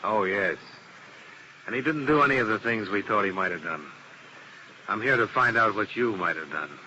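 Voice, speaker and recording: A man's voice: fairly low pitch, animated, normal speed, bright, forceful tone. A man playing a tough, world-weary insurance investigator in 1950s radio detective style, clipped and terse. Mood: stern, pressing. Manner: clipped, hard-boiled, terse. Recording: noisy old radio broadcast recording.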